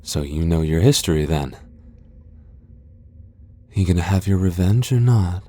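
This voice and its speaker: A man's voice: low voice, expressive, unhurried, dark tone, no room sound. A man playing a haughty, centuries-old vampire lord in a fantasy ASMR roleplay, sardonic, taunting and theatrical. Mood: scornful, taunting.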